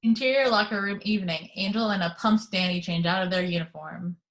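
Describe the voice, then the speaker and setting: A woman's voice: medium pitch, fairly even intonation, a little fast, low-quality recording. A woman reading scene headings and action lines as narrator of a script table read.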